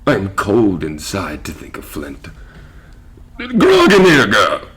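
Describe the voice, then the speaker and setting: An actor playing a haunted old pirate captain in a theatrical drama, gruff, barking demands and brooding in fear. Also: male voice, lowish voice, huge pitch swings, slow pace, a bit bright, full character voice. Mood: demanding. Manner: barks demands, broods fearfully. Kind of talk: theatrical stage pirate acting.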